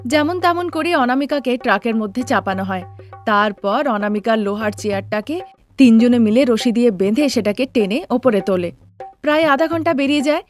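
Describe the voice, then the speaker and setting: A woman reading scripted narration for a storybook cartoon in a steady, plain way. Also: on the high side.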